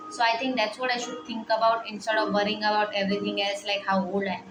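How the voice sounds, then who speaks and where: A woman's voice: medium pitch, flat delivery, fast. A woman in her twenties talking through personal worries in a rambling, hesitant vlog, heavy on fillers. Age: 20s. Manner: quietly upset, reflective. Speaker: vlogger. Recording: echoey room with music playing behind.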